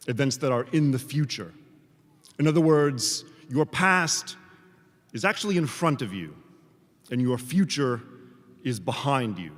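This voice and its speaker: Man giving a speech, echoing hall. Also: medium pitch. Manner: measured, deliberate phrasing with rhetorical pauses. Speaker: professor giving a commencement speech. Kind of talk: formal prepared commencement address. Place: large hall at a graduation ceremony. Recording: reverberant large hall, amplified speech.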